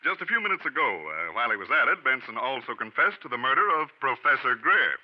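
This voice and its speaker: A folksy, chuckling police lieutenant swings his pitch widely as he baits a suspect. The sound is dull and dated, like an old radio play. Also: very animated, fast talker. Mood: sly. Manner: chuckling, folksy, cat-and-mouse. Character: jovial, sly police lieutenant, man. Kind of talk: 1940s radio acting. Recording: dull vintage radio recording.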